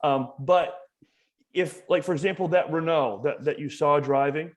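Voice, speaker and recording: A man's voice: on the high side, moderate pace. A man from Maine, a museum educator, talking as a podcast guest in a passionate, emphatic way, often repeating words as he builds a point. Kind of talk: casual conversational interview.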